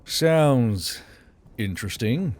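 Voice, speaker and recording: A man voicing a gruff, weary military commander, terse and low-voiced, in a fantasy audiobook. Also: animated, very slow, a little mellow. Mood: casual, dry.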